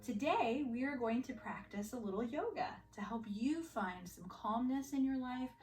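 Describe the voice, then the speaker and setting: Female yoga instructor addressing children, highish lively voice, roomy distant sound. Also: moderate pace. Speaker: yoga teacher. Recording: roomy echo, distant mic, faint music.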